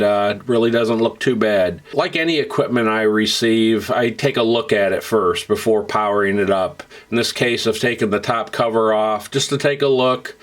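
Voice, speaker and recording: A man narrating an equipment repair in an unhurried, plain-spoken way, with frequent fillers and restarts. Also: mid-range voice, fairly bright tone. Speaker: repair hobbyist narrating a restoration. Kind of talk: unscripted instructional voice-over.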